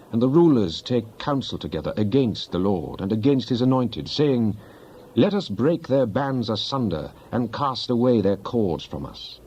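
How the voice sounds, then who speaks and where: A man's voice: on the low side, dark tone. A man giving a solemn, declamatory dramatic recitation of psalms as a scripture reader. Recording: dull lo-fi, faint background noise.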